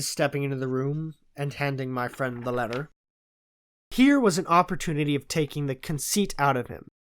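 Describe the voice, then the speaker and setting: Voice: medium pitch, a bit bright. An audiobook reader giving scripted first-person narration of a story.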